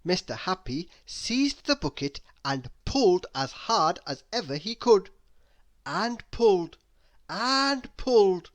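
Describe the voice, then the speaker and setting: A woman reading a children's story aloud in an expressive narrating style. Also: lowish voice, takes it slowly. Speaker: storybook reader.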